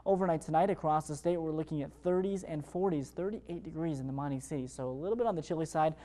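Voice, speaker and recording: An American man delivers a television weather forecast at a brisk clip. His voice is fairly high for a man and softly mellow, and his delivery is chatty and upbeat, like an ad-libbed morning show segment.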